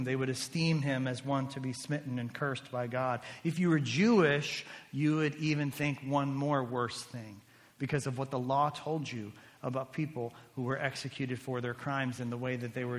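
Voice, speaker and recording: A man preaching a sermon as a pastor, emphatic, swinging between loud declarations and hushed, intense lines. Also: medium pitch, steady tone, moderate pace. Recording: long hall reverb.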